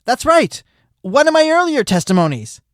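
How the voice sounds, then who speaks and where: A woman's voice: sits high, sing-song, normal speed, no echo, clean studio sound. A woman voicing a smug, hyperactive male sloth witness with a cocky drawl.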